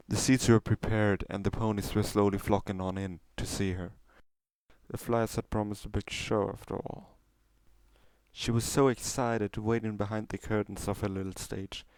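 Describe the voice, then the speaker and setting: A man's voice: on the low side, darkish tone, no room sound. A man reading a scripted story aloud as its narrator. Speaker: story reader.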